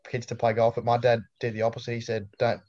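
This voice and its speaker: A man's voice: mid-range voice, completely flat delivery, fast talker, darkish tone, lo-fi sound. Laid-back Australian guy in his twenties. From New South Wales, Australia. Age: 20s. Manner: laid-back, storytelling, dry humor. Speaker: interviewee. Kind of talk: casual interview chat.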